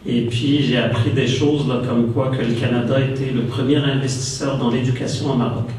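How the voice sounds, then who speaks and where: A Moroccan-born man speaks at a conference, pausing often on fillers and restarting his phrases. His voice carries through a big echoey hall from some distance off the mic, with a faint hiss underneath. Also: mid-range voice, flat delivery, a little mellow. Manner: hesitant, many fillers and restarts. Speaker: panel speaker. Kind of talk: conference talk.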